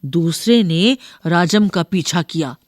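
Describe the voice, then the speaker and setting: A woman's voice: lowish voice, animated, slow, dry recording, studio quality. A woman narrator reading a scripted story for schoolchildren.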